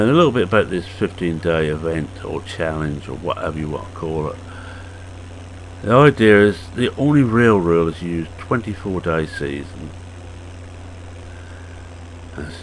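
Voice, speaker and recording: A man in his sixties with a hoarse, croaky voice, giving casual, rambling commentary on a farming game with halting fillers. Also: deep voice, sing-song, slight background noise, rough recording. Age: 60s. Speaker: farming game video maker.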